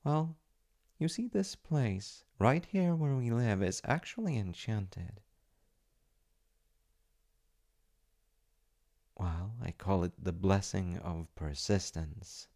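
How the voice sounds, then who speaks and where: A man playing a polite, eager wizard fiance in an ASMR audio roleplay, with theatrical delivery and soft muttered asides. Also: sits low. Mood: earnest, delighted. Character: eager, secretly magical wizard fiance running an enchantment business.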